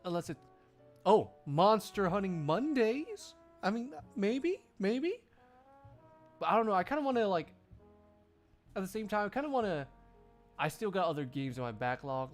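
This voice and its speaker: A man livestreaming, chatting casually to his viewers in a jokey, rambling, exclamatory way. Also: high voice. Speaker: live streamer.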